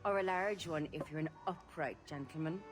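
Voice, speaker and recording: A woman's voice: deep voice, fairly quick, soft background music, close to an everyday voice. A woman playing a bawdy, flirtatious pirate woman in a video game, sly and suggestive. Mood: teasing, flirtatious. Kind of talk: video game cutscene acting.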